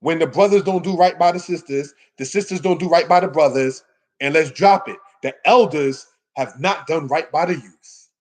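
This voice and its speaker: A man with a somewhat high voice gives an informal Bible lesson. He speaks as a teacher to his congregation, exhorting them emphatically and putting rhetorical questions to them. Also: normal speed, a little mellow. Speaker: religious teacher giving a scripture lesson.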